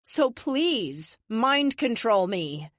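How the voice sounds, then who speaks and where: Woman acting high, sassy, animated heroine. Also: moderate pace, dry recording, clean studio sound. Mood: defiant. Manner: sarcastic, animated. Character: sassy, flippant American heroine, theatrically bold bluffer.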